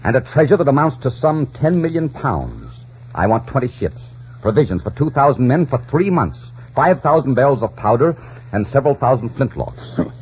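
A dull old radio recording of a swaggering pirate captain. His pitch is middling and moves widely, with a theatrical, commanding sweep fit for a romantic adventure play. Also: big pitch swings, moderate pace, a little mellow, slightly rough. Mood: cool, commanding. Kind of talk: 1940s radio acting.

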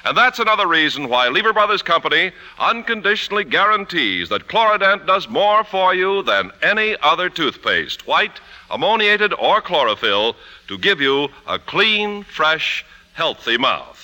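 A man's voice: high voice, sing-song, moderate pace, bright, forceful tone. An American man reading announcements and commercials on 1950s radio in an emphatic, polished sales delivery. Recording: 1950s radio broadcast, music behind.

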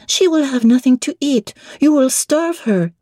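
Small girl, high-pitched, pert, practical, argumentative, classic audiobook drama, close dry sound. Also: lively intonation, a little slow, mellow, no echo. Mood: worried questioning. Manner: pert, matter-of-fact, questioning.

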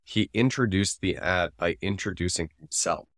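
A man with a notably low voice co-hosts a podcast. He talks casually and analytically, explaining his view in a conversational way. The sound is dry, with little room on it. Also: moderate pace, fairly bright tone, no room sound. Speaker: podcast co-host.

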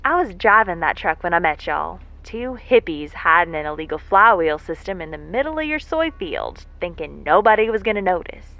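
A woman's voice: fairly low pitch, animated, moderate pace, vocal fry, hardly any pauses, fairly bright tone, highs cut off. A woman playing a folksy, lovesick moonbase worker who rambles with wry self-deprecation in an audio drama monologue. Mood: fond, teasing. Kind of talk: audio drama monologue, a spoken letter home. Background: faint music.

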